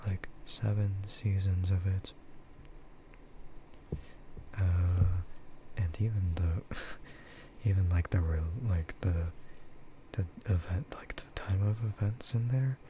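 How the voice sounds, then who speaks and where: A man with a low, soft voice chats in an easygoing ASMR style. He rambles hesitantly at a slow pace and often drops into a whisper. The audio is muffled, with clear noise behind him. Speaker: VTuber streamer. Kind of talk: soft ASMR casual chat.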